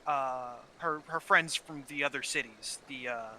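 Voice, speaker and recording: A man's voice: medium pitch, measured pace, pushed and bright, a little hiss or hum. A man in casual, heated gaming chat, swearing often and repeating words as he gets his point out. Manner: frequent swearing, heated and opinionated. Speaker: gaming channel co-host. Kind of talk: casual gameplay chat about story.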